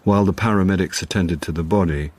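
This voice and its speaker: Low, wry middle-aged British man. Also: a little mellow. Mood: wry narrating. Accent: British English. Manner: flustered, halting, self-deprecating. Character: hapless, wry middle-aged male actor caught up in murder. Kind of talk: naturalistic radio drama acting with confiding narration.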